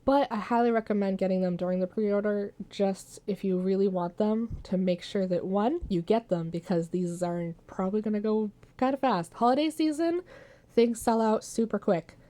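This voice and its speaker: A woman's voice: mid-range voice, moderate pace, warm tone. A woman chatting casually through a hands-on craft tutorial as its host, playful and jokey, with folksy made-up words. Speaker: soap maker hosting a craft tutorial.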